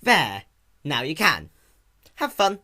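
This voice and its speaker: Man acting out young boy dragon, raised pitch, swooping delivery, teasing, defensive, snappy, storybook character. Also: wildly swooping pitch, slow pace, bright, forceful tone, full character voice. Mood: cross. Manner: snappy, emphatic.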